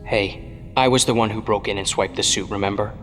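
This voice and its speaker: An actor playing an earnest, insistent young streetwise protégé to an older hero in an audio drama. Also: male voice, fairly low pitch, steady tone, a little fast, a bit bright, faint music behind, close to an everyday voice. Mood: earnest confession. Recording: long cavernous reverb.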